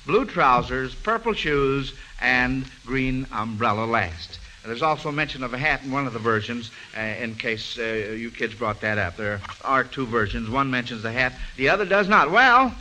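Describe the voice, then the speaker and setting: A man's voice: medium pitch, lively intonation, moderate pace, hardly any pauses, bright, forceful tone. A man with an American accent hosting a 1940s radio quiz show in a folksy, avuncular, hesitant patter. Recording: noisy, hissy old radio broadcast recording.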